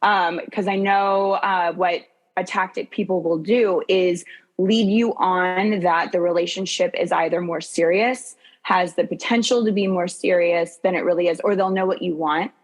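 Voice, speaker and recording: A woman's voice: lowish voice, steady tone, normal speed. A woman coach speaking as a guest in a casual conversation, informally thinking aloud.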